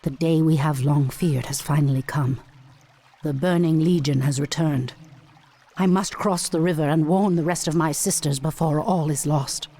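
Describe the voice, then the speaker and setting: An actress playing a solemn, commanding night elf priestess and war leader in a video game, speaking gravely and formally. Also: female voice, deep voice, fairly even intonation, normal speed, mellow. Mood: grave, urgent. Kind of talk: video game cutscene acting.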